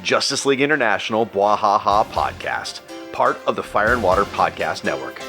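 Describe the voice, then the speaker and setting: A low-voiced man reads a podcast promo. He announces in a scripted style, with music clearly playing behind him. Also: lively intonation, normal speed.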